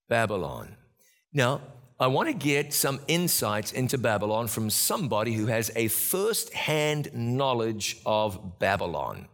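A man's voice: medium pitch, fairly bright tone. A man hosting a Bible program, teaching in an earnest sermon style with emphatic repetition.

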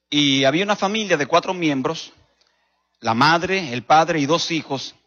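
A man with a Dominican accent preaching a sermon as a pastor, telling stories emphatically with rhetorical questions and dramatic pauses. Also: on the high side, fairly bright tone, breathy edge. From Dominican Republic. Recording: dull recording in a reverberant hall.

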